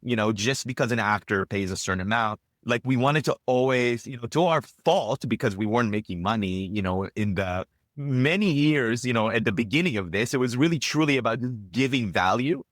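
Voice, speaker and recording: A man's voice: mid-range voice, expressive, fairly quick, dry recording, clean studio sound. A man, chatting as a podcast guest and rambling with many fillers and restarts. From Israel. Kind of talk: casual interview chat.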